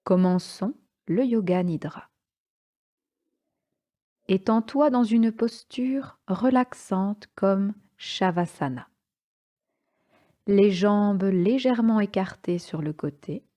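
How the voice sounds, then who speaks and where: Very slow, mellow woman guiding relaxation. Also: medium pitch, muffled recording. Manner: calm, soothing, unhurried pauses between short phrases. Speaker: yoga nidra instructor. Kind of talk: guided relaxation meditation.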